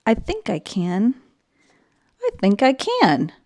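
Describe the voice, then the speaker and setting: A woman voicing a kind, modest, plucky little female train engine in a children's storybook, speaking gently. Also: on the high side, wildly swooping pitch, slow pace, full character voice. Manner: gentle, kindly.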